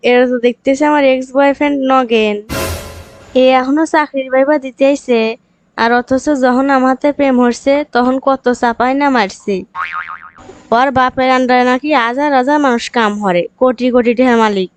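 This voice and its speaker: A woman's voice: sits high, steady tone, a little fast, no echo, soft background music, lightly acted. A woman voicing a scornful young interviewer and bitter ex-girlfriend in a cartoon, scolding and sarcastic, with a rural Bangladeshi accent. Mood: scornful musing. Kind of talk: cartoon comedy dialogue.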